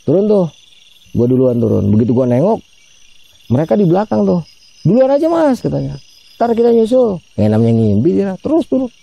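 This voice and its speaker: Gravelly man telling a ghost story. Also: high-pitched, huge pitch swings, a little fast, long pauses, warm tone, no room sound. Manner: chatty, addresses listener by name, acts out quoted voices. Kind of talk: casual spoken ghost story.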